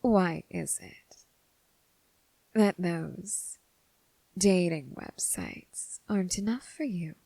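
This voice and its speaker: A woman voicing a bored, mocking female demon in an ASMR roleplay, half-whispering in a languid, condescending way with a husky, creaky voice. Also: on the low side, expressive, mellow, no echo, full character voice. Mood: probing, condescending. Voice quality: breathy, husky, with creaky fry. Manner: languid, condescending, drawn-out phrasing with sighs.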